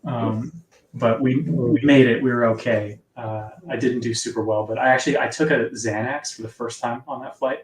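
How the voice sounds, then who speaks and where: A man with a soft, sleepy-sounding voice, chatting casually as an author guest in a live interview. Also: mid-range voice, steady tone, normal speed, a crowd in the background. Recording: echoey room, distant from mic.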